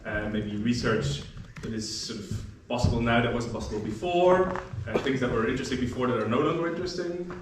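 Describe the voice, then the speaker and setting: A man's voice: mid-range voice, brisk. A man moderating a workshop panel, joking loosely and restarting his sentences often. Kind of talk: introducing a panel discussion. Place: lecture room, workshop audience. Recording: very echoey room, distant mic, faint noise.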